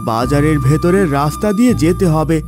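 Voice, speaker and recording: A high, very animated voice as a weary, poor farmer in a cartoon. He is humble and lamenting, with music playing clearly under him. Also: brisk. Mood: tired, urging. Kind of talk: Bengali moral-story cartoon dubbing.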